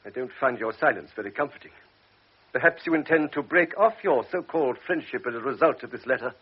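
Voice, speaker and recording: A stern, husky old doctor. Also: male voice, medium pitch, animated, quick pace, muffled recording. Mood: reproachful. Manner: grave, then sharply reproachful. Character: stern older male doctor, blunt and principled.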